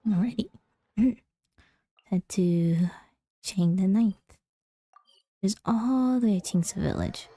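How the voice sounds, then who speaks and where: A woman's voice: medium pitch, takes it slowly, long pauses, dark tone, no echo. A woman casually chatting as she streams a game, her voice affected by a cold she is recovering from. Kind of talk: casual gameplay stream chat. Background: faint game music.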